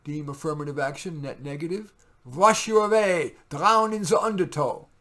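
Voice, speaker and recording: A man's voice: fairly high pitch, normal speed, a bit bright. Man giving an emphatic poetry reading. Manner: emphatic, theatrical when reading verse. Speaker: poet reading own work. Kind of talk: poetry reading with casual asides.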